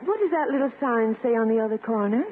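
Actress as coy, coaxing American romantic, fairly high voice, husband-hunting, 1950s radio comedy, tinny old broadcast sound. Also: female voice, expressive, fast, close to an everyday voice. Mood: coaxing, insistent. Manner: gushing, coy. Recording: thin, faintly noisy 1950s radio recording.

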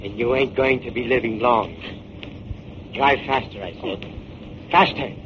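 A menacing seaman killer, acted by a man in a 1940s radio thriller. He barks curt threats in a mid-pitched voice. The old recording is dull and heavy with noise. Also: a little slow, lightly acted. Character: menacing gruff seafaring killer holding a woman captive. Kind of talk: 1940s radio crime drama acting.

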